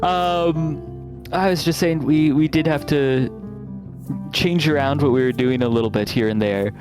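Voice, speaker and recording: A man talking casually as a game developer guest, in a polite manner with pauses as he thinks. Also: on the high side. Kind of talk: casual chat. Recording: music playing behind the voice.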